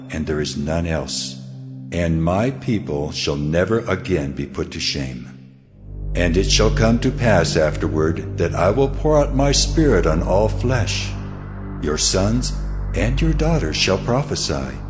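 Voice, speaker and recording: An actor playing God in a dramatized scripture reading, speaking in a solemn, declamatory, majestic manner. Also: male voice, low-pitched. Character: God speaking to his people, solemn, majestic male deity. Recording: long cavernous reverb under clear music.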